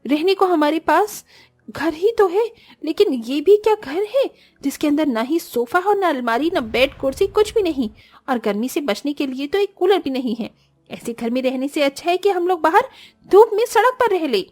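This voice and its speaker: Young orphan sister, voiced by a woman: very high pitch, earnest, expressive, cartoon fable. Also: moderate pace. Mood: exasperated. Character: poor young orphan sisters, earnest, kind, easily exasperated. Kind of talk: Hindi cartoon moral-story voice acting.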